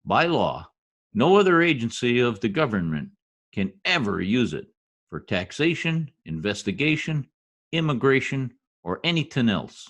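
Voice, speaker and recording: A man's voice: medium pitch, very animated, moderate pace, a bit bright. A man playing a blustery, slangy middle-aged husband in a recreated 1950s radio comedy. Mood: earnest announcing. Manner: folksy slang, dropped grammar, exclaiming.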